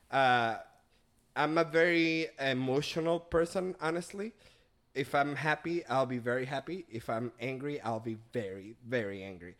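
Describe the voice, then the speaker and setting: A man from Venezuela, a podcast guest speaking in earnest, reflective, halting casual chat. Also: medium pitch, fairly bright tone.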